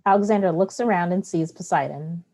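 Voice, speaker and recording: A woman's voice: lowish voice, a little fast, warm tone. A woman reading stage directions as the narrator of a play, in scripted narration.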